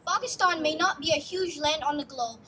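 High-voiced young speaker, earnest recital. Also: female voice, brisk, pushed and bright, a little hiss or hum. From United States. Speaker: youth speaker. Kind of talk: prepared speech read aloud. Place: outdoor stage in a park.

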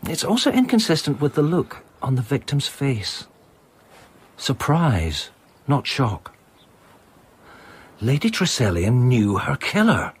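An actor playing an observant, doubting amateur sleuth in a radio play, reasoning in a measured way. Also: male voice, mid-range voice, very animated, husky, exaggerated voice. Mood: measured, sceptical. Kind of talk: dramatic radio play acting.

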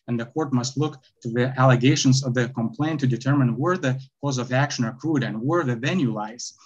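A young man with a Lithuanian accent arguing his own appeal over a video call, halting, with many fillers and restarts. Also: medium pitch, breathy edge. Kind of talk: remote oral argument before a court. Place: bright indoor room, video call. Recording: dull lo-fi video call audio.

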